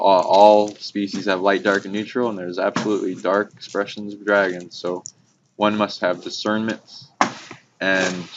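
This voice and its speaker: A man talking casually and hesitantly about spiritual ideas as a host, rambling and swearing now and then. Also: lowish voice. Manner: hesitant, halting, casually profane. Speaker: host explaining esoteric ideas. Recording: muffled, noisy hobby recording with clicks.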